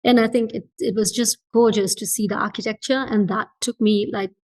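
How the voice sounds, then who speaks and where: A woman's voice: fairly high pitch, steady tone, fairly quick, darkish tone. Earnest, emphatic woman in an interview. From Fiji. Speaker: entrepreneur being interviewed as a guest. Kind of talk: reflective conversational interview.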